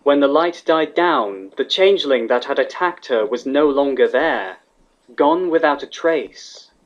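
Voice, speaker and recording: A man's voice: mid-range voice, animated, unhurried. A man reading fan fiction aloud as a scripted story narrator. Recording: dull, lo-fi sound.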